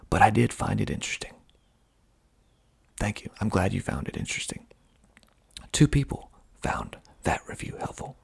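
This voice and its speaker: Breathy whispering man, ASMR style. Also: on the low side, bright, forceful tone. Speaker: filmmaker and actor reading reviews of own film. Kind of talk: whispered ASMR reading with asides.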